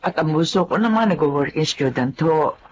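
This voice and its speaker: A woman's voice: low voice, animated, normal speed, muffled recording, a little hiss or hum. An actress playing a humble, loyal middle-aged housemaid and mother in a Filipino radio drama. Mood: humble, warm. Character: long-serving loyal housemaid and mother, humble, hurt, forgiving. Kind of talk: Filipino radio drama acting and first-person narration.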